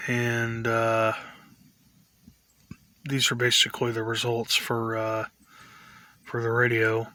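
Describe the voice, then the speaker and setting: A man giving a casual, tentative show-and-tell commentary in a halting way, like a hobbyist vlogger. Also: mid-range voice, monotone, pushed and bright.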